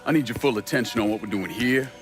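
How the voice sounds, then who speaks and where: A man playing a tough, calm young vigilante in a crime drama game, speaking in a dry, terse way. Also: quick pace, faint music behind, close to an everyday voice. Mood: wry, firm. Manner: dry, terse, low-key. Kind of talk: dramatic video game acting.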